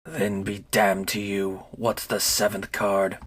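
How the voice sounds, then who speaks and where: Man doing a low, grim gunslinger. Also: slow pace, husky, heavily acted. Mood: angry. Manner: terse, flat. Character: grim, harsh, weary male gunslinger.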